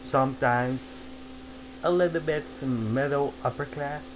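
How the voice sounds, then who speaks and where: Guy talking slow on a call, stops and restarts a lot, bit of mains hum. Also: medium pitch. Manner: explains slowly, halting restarts. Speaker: host. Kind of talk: casual online chat. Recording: faint mains hum behind.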